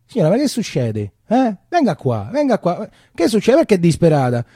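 An actor voicing a bossy but reassuring Roman communist neighbour, urging and repeating himself emphatically in a stage monologue. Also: male voice, high voice, huge pitch swings, moderate pace, mellow, breathy edge, no room sound, studio quality, pitched above the performer's own voice, heavily acted. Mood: concerned.

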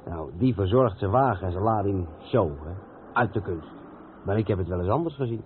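A seasoned long-haul trucker in an old radio play. His voice sits fairly low and he explains patiently, step by step. The sound is thin and narrow, with some background noise. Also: animated, a little fast. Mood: explaining, chatty. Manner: didactic, explains things step by step. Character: experienced, patient long-haul truck driver showing the ropes. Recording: tinny, band-limited old radio recording with noise.

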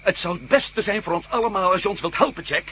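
An actor playing a male adventurer hero in a radio play, lively and full of questions. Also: high-pitched, fast, slight background noise.

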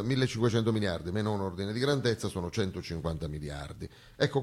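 A man's voice: on the low side, breathy edge. A man performing a satirical theatre monologue, conversational but emphatic, full of sarcastic asides and rhetorical questions. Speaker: stage actor performing a monologue.

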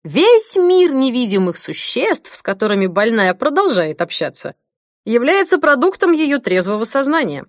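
A confident male head physician read by a woman talks with lively, swinging intonation. He is brisk, familiar and persuasive, an audiobook character stressing his clinical points in a close, dry recording. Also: fairly high pitch, dry recording. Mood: businesslike, emphatic.

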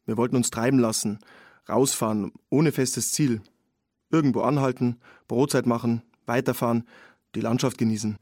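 An actor playing a sober, observant man in a radio play, giving a detailed account in clipped, measured phrases. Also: medium pitch, steady tone, darkish tone, no echo, studio quality, natural voice. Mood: calm recollection. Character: man recounting finding a drowned woman, sober and observant. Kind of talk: radio play testimony narration.

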